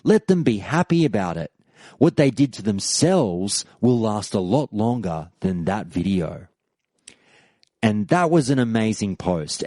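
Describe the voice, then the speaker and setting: A male podcast host reading listener posts aloud and talking them over in a solo show. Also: no room sound. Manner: animated, rises in pitch when reading quoted posts aloud.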